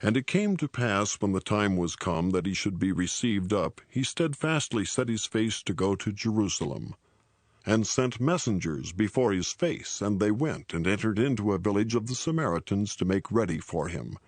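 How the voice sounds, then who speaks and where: A man narrating scripture in a solemn, measured and formal reading style. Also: fairly low pitch, lively intonation, dry recording.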